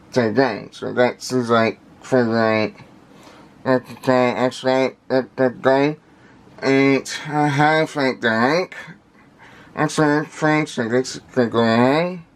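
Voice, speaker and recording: A man giving casual unscripted product commentary in halting, repetitive fragments, with labored, indistinct articulation from a speech impediment. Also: mid-range voice. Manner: halting, repetitive, fragmented phrasing with effortful delivery. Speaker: vlogger unboxing audio gear.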